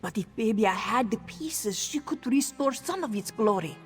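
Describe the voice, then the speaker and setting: A woman's voice: fairly high pitch, lively intonation, normal speed, close to an everyday voice. AI woman voice doing a proud cat-woman local who speaks in third person, with a reverb tail and game music behind. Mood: earnest. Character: proud elderly female cat-folk townswoman.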